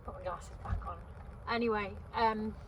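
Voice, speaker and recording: A woman in her fifties, from Wales, talks to camera from inside her parked van. She rambles and restarts, with plenty of ums, at a middle pitch. A strong wind is clearly heard gusting outside. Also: fairly even intonation, normal speed. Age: 50s. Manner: chatty, meandering, many ums and restarts. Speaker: vlogger. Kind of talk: casual rambling chat to camera. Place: inside a parked van by a river on a windy day.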